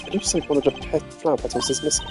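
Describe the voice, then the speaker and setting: A man voicing a composed, analytical prosecutor in a video game, speaking in a deliberate, formal manner. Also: fairly high pitch, a little mellow, natural voice. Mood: serious, reasoning. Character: composed, analytical male prosecutor investigating a murder. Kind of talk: voiced video game dialogue read live. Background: game music.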